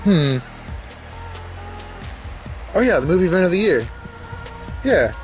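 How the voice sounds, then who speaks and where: A man's voice: high-pitched, sing-song, a little slow, faint music behind. A young man casually rambling and swearing as he opens old comic books at home, talking into a handheld camera. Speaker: podcast host opening comics. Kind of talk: casual unboxing commentary. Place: indoors at home, filming comics on a bed.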